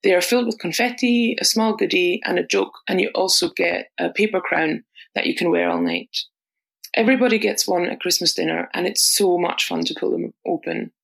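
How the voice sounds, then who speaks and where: A woman's voice: on the low side, big pitch swings, a little fast, gravelly, a bit bright, rough recording. A woman from Austria, reading a prepared voice message as a podcast contributor. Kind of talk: scripted voice message.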